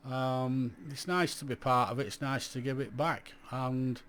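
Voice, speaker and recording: A man close to sixty talks through his life in radio. His voice sits at an ordinary pitch, and his delivery is blunt and plain-spoken. He describes himself as a bit grumpy, and he keeps it chatty, with lots of "you know"s. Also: fairly even intonation, measured pace, fairly bright tone, clear, resonant tone. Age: 50s. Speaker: radio amateur vlogger. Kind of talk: reflective casual monologue to camera.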